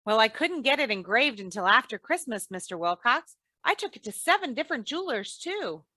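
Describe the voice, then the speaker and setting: A woman acting a sensible wife who drily teases and gently scolds in an old-time radio comedy. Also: on the high side, big pitch swings, brisk, dry recording, clean studio sound, heavily acted. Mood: friendly, amused.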